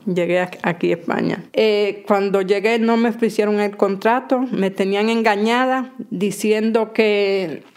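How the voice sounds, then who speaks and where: A woman in her sixties with a Dominican Spanish accent, giving halting interview testimony full of restarts. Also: mid-range voice, darkish tone. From Dominican Republic. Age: 60s. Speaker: interviewee, domestic worker.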